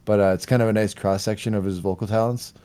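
A man co-hosting a music podcast, presenting a countdown of songs in casual chat, relaxed and laughing now and then. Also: lowish voice, fast, smooth. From Canada. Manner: relaxed, laughing, slight stumbles over words.

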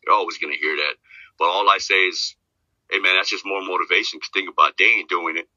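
A man talking casually as a podcast guest, rambling and repeating himself, with a deep voice. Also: fairly even intonation, quick pace, sharp tone, dry recording, muffled recording. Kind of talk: casual chat.

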